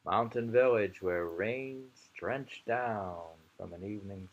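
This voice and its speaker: A man reading poetry slowly and commenting on it casually, in an unhurried, musing and informal manner. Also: fairly low pitch. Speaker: poetry reader.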